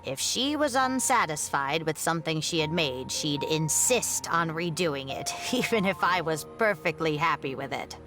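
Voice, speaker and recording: An actress playing an elderly woman scholar in a video game, composed and reminiscing in a measured, wry manner. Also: low-pitched, expressive, music in the background. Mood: fond, reflective. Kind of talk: scripted video game dialogue.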